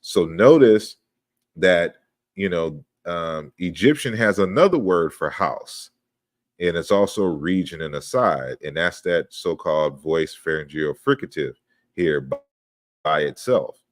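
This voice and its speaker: Man, very low voice, dry close sound, patient step-by-step lecture, slide walkthrough. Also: unhurried, no room sound. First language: not Yoruba. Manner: explains step by step, often checks with "right?". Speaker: lecturer on language history. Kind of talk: online lecture over slides.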